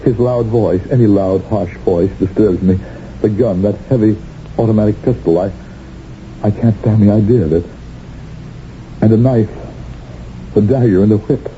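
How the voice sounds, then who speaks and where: An actor playing a haunted former secret police colonel reborn as a gentle professor, speaking in halting, deliberate phrases in a radio drama. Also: male voice, fairly low pitch, a little hiss or hum, close to an everyday voice. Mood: sickened, anxious. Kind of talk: 1970s radio drama acting with narration. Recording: dull 1970s radio recording.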